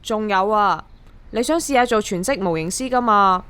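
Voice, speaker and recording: A woman's voice: mid-range voice, lively intonation, moderate pace, a bit bright, smooth, natural voice. An actress with a Hong Kong Cantonese accent playing an earnest young woman fed up with her mortgage struggle in a radio drama. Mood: resolute.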